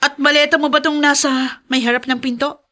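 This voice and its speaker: A woman's voice: high voice, flat delivery, fairly quick, bright, forceful tone. An actress playing a bossy, hot-tempered young woman of a wealthy household in a radio drama, with an imperious, exclaiming delivery. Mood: demanding, irritated. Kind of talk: Tagalog radio drama acting.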